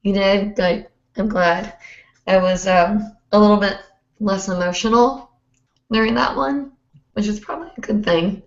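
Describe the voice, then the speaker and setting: A young woman hosting a hoop dance livestream, hoarse and chatty, rambling with many fillers. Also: mid-range voice, measured pace. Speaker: host. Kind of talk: casual livestream chat. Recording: roomy, dull lo-fi stream audio.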